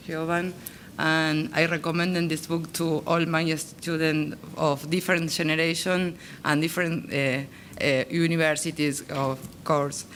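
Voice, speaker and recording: A woman from Argentina, a native Spanish speaker, speaking on a panel in halting English with many pauses. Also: sits low, a bit bright, slight background noise. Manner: halting, many fillers and restarts. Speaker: panelist, translator. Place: conference meeting room.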